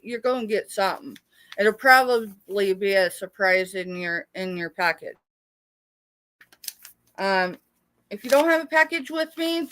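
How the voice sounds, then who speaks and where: A woman's voice: medium pitch, fairly bright tone, no echo, low-quality recording. A middle-aged American woman hosting a casual live sale, chatty and rambling as she talks familiarly with her viewers. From United States, born in Texas. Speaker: live sale host and small seller.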